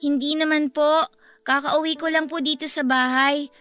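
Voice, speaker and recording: A woman's voice: sits high, steady tone, normal speed, bright, forceful tone, soft background music. A woman playing a polite, earnest young eldest daughter of about ten in a radio drama, anxious to please. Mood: eager, concerned.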